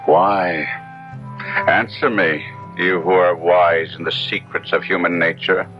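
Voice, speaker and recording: A man's voice: sits low, fairly even intonation, takes it slowly. An actor playing a proud, tormented young Italian nobleman who recounts his downfall in florid, theatrical 1970s radio drama narration. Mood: anguished, confessional. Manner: florid, grandiloquent, theatrical delivery. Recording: muffled 1970s radio broadcast with music.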